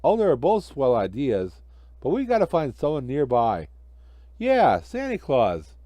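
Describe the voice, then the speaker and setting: A man's voice: mid-range voice, huge pitch swings, a little slow, no room sound, muffled recording. A man voicing a jolly, eager, good-natured snowman in a children's storybook, exclaiming and cheerfully joking. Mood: eager, urgent.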